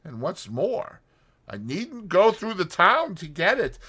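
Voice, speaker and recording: Man putting on an excitable, high-pitched pompous toad. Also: slow, slightly rough, full character voice. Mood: gleeful, self-satisfied. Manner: pompous, exclaiming. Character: boastful, excitable male toad, storybook animal.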